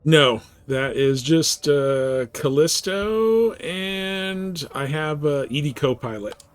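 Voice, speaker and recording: A man chatting casually as he streams a game, halting and restarting his phrases as he goes. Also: fairly high pitch, expressive, clean tone. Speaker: live streamer playing a space trading game. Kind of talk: casual chat with viewers while gaming.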